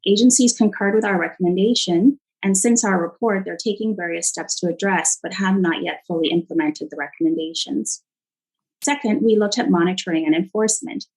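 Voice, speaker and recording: Low-pitched woman reading formal testimony. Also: darkish tone, poor audio. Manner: measured, formal, reading a prepared statement. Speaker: government agency witness.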